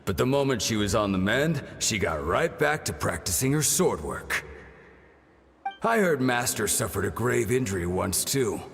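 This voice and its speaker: A man's voice: on the low side, big pitch swings, moderate pace, gravelly, fairly bright tone, soft background music, heavily acted. An actor voicing an eager young male trainee full of admiration. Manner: enthusiastic. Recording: reverberant game audio.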